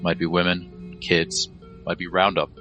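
A man's voice: low voice, monotone, measured pace, pushed and bright, highs cut off, faint music behind. A man playing a commanding, streetwise team leader, firm and terse, in an audio drama. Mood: grave.

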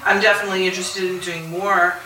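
A woman's voice: lowish voice, level tone, quick pace. A middle-aged woman talking casually as a panelist at a live convention, thinking aloud in a conversational way. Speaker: convention panelist and audio drama voice actor. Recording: roomy, distant mic, noisy live room.

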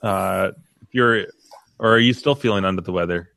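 A man hosting a podcast in relaxed, informal conversation with friends. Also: deep voice, normal speed, vocal fry, no echo. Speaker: podcast host. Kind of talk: casual chat.

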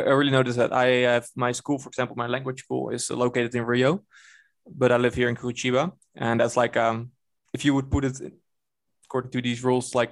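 A man's voice: mid-range voice, creaky. A young Dutch man with a Dutch accent, talking casually and a bit hesitantly as a guest on a video call. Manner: relaxed, hesitant with fillers and restarts.

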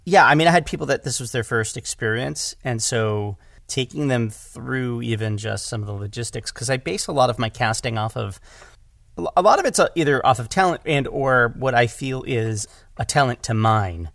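A man in a casual conversation as a podcast guest and director, halting and rephrasing as he goes and acting out bits of imagined dialogue. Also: medium pitch, lively intonation, creaky, fairly bright tone, no echo, clean studio sound.

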